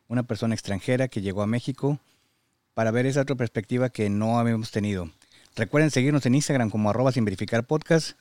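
A man hosting a podcast who thanks his listeners and interviews a guest in a slow, earnest way. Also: medium pitch, a little mellow, smooth, no echo. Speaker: podcast host.

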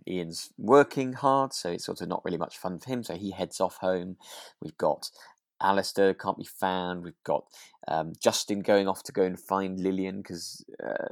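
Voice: low voice, no room sound, studio quality. A podcast co-host in casual chat, recapping a drama and hesitantly piecing the story together aloud.

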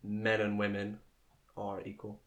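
A man co-hosting a casual podcast chat, joking around with sudden jumps in pitch and trailing off into quiet asides. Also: completely flat delivery, unhurried. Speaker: podcast co-host.

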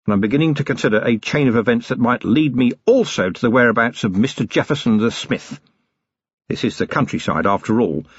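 Man as husky, dry policeman husband. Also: mid-range voice, dry recording, highs cut off. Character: dry, dutiful middle-aged policeman husband. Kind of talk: audiobook character voice.

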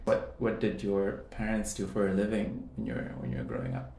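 A man's voice: sits low, very animated, fairly quick, gravelly, warm tone, echoey room. A man hosting a podcast, asking questions and often repeating words as he starts them. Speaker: podcast host. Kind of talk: interviewing and scripted intro.

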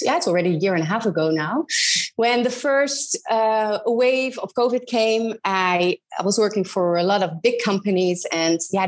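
A woman in her thirties with a Dutch accent, talking as a guest in an interview. Her voice sits at a middle pitch, and she pauses often and restarts her sentences as she searches for words. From Netherlands. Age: 30s. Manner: hesitant, many ums and restarts. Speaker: guest, business advisor. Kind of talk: casual interview conversation.

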